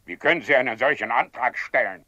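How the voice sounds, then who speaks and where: An actor in a radio play playing a stern guild official with formal diction. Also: male voice, medium pitch, lively intonation, fairly quick, pushed and bright, no room sound, dull audio. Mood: stern objection. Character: formal, objecting official presiding over a telepaths' guild. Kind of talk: German radio play acting.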